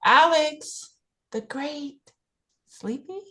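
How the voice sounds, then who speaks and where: A woman playing a practical, good-humoured older home caregiver in a play reading, brisk, matter-of-fact and coaxing. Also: sits high, a bit bright, heavily acted. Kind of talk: table read of a play, naturalistic acting.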